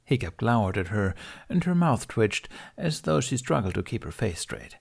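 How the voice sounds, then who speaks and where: A man reading an audiobook story as narrator, in expressive scripted narration. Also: lowish voice, moderate pace, creaky, husky.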